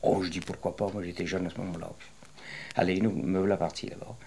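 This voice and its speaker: Deep-voiced man in his sixties reminiscing. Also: level tone, gravelly, darkish tone, a little hiss or hum. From western France. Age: 60s. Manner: rambling, hesitant storytelling with many pauses. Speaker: retired interviewee. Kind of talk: oral history interview.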